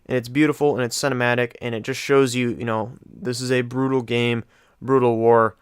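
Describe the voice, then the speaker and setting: A man gives casual, enthusiastic gaming commentary. His voice is mid-pitched and very clear. The recording is dry, with no room sound. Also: little pitch movement, a little fast, no room sound. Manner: informal, enthusiastic. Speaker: gaming video host.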